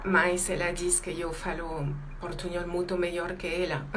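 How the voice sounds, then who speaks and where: A lowish-voiced woman with a French accent talks slowly to an online audience, feeling her way through a language that is not her first. She restarts phrases, drifts into Portuguese words and checks in with the listeners constantly. A faint room ambience sits under her voice. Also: fairly even intonation, noisy background. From France. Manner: halting, restarts often, mixes Portuguese into Spanish, frequent "¿no?". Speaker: emotional intelligence teacher hosting a live stream. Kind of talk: live-stream talk to viewers.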